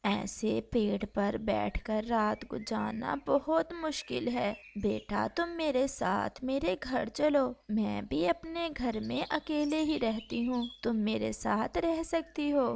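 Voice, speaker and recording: A woman voicing a kind, motherly old female dove in a cartoon story, gentle and caring. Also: a little high, slow pace, gravelly, few pauses, a little hiss or hum, heavily acted. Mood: gentle concern. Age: older.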